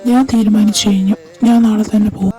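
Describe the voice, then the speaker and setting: A woman voicing an intense, possessive young man who confesses his love in a soft, halting voice. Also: medium pitch, flat delivery, lightly acted. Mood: resolute. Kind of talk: amateur audio drama. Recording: distant mic over clear music.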